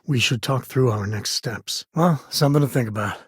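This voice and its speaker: A heavily husky, gravelly spacefarer from a video game, with a medium-pitched voice. He is an easygoing, loyal father and explorer who talks in a wry, folksy, conversational way. The sound is dry, with no room around it. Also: moderate pace, dry recording. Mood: earnest. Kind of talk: video game character dialogue.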